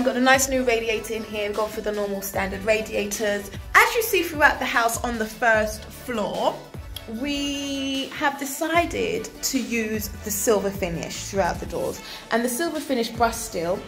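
A woman in her thirties giving a chatty, enthusiastic walk-through of a renovated house, filmed on a handheld phone in empty rooms. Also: medium pitch. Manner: chatty, enthusiastic, repeats phrases for emphasis. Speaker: property developer. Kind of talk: walk-through property tour vlog. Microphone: phone camera held at arm's length.

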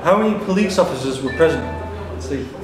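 A man's voice: fairly high pitch, animated, brisk. Man reading quiz questions in an echoing pub. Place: indoors in a pub room. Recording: roomy pub recording, chatter around. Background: people talking in the pub.

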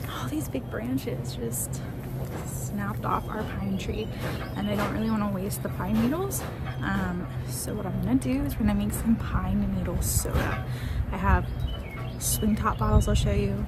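A young woman in her twenties chatting casually as she shows homemaking projects, upbeat with frequent ums, filmed on a phone at arm's length at home. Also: mid-range voice. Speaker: homemaker vlogger. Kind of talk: casual how-to chat. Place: home kitchen and outdoors in snow.

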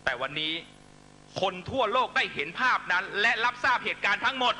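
A middle-aged man giving an impassioned political rally speech in emphatic, short measured phrases into a stage microphone. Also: high voice, lively intonation. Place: large night-time outdoor rally stage. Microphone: stand microphone on stage. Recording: noisy live rally public-address broadcast with hum.

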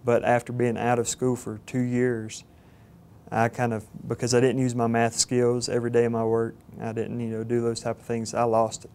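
A young man in his twenties with a Southern Georgia accent, earnest and halting with fillers, speaking in an interview. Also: medium pitch, completely flat delivery, a little mellow, slight background noise. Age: 20s. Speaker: cadet candidate. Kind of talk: interview testimonial. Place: studio with plain backdrop. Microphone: lapel mic.

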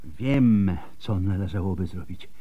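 An actor playing a tipsy, wry and animated physician in a radio drama, with a husky voice and a theatrical delivery. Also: male voice, deep voice, unhurried, dull audio. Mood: eager.

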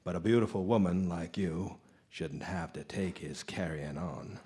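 Man playing a low, husky sinister tempter. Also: measured pace, mellow. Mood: coaxing. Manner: insinuating, coaxing. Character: sly, seductive sinister tempter, coaxing and manipulative. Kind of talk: old-time radio drama acting.

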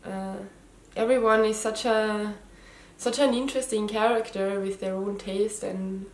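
A woman's voice: mid-range voice, steady tone, unhurried. A woman in her thirties with a slight Austrian German accent, a native German speaker, hosting her first knitting video podcast from home in a casual, hesitant way with frequent ums. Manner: hesitant, many ums and restarts. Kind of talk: casual chat to camera. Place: living room at home. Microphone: camera mic, head and shoulders framing. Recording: echoey room.

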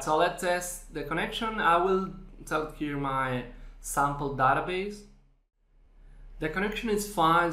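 A man presenting a screen-recorded software tutorial, explaining step by step with frequent fillers. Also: on the high side. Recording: echoey room.

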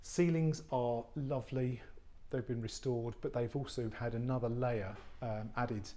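A man, an estate agent giving an unscripted walk-through tour of a warehouse flat, chatty and full of fillers and restarts. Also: medium pitch, unhurried. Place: large warehouse flat, indoors. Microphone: handheld phone camera, speaker off screen.

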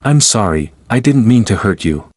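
A man with a somewhat low voice reads short answers for a language lesson, each line carefully paced. The sound is dry and close, with no room around it. His delivery stays clear and even. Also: no echo. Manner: clear, even, deliberate reading. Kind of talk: slow repeated example sentences for learners.

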